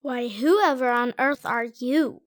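An earnest tearful little schoolboy. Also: male voice, extremely high pitch, slow, a bit bright, pitched above the performer's own voice, heavily acted. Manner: earnest, pleading childlike voice. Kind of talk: storybook read-aloud.

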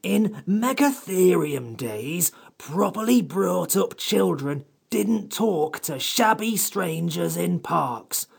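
A man voicing a haughty, complaining furry magical creature in a creaky, husky storybook character voice. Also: high voice, lively intonation, slow, few pauses, pitched above the performer's own voice, heavily acted. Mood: irritated, disdainful. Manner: crabby, pompous, disdainful.

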